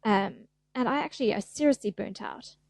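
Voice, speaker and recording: A woman's voice: medium pitch, normal speed, creaky, a little mellow, no room sound, studio quality. A middle-aged woman with a South African accent, chatting as a podcast guest in an easygoing, self-deprecating way. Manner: chatty, self-deprecating, acts out little voices. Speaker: guest, fascia therapist. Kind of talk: casual podcast interview.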